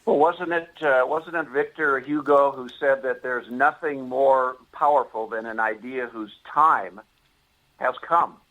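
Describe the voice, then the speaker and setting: Deliberate, oratorical American man in an interview. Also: mid-range voice, fairly bright tone, low-quality recording. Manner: formal, deliberate, oratorical phrasing. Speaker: author and interview guest promoting a monument project. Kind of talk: earnest live interview talk.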